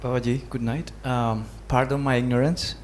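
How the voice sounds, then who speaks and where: A man with an average-pitched voice asks questions politely and a little tentatively, as a student would. There is faint noise in the background. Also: level tone, moderate pace. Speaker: newcomer student asking questions. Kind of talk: casual class question.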